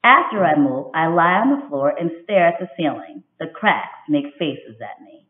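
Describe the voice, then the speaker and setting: A woman voicing a moody little girl who narrates a storybook, with expressive, emphatic delivery in a roomy recording. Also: deep voice, moderate pace. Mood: glum. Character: moody, dramatic little girl telling her miserable day. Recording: dull, roomy home webcam recording.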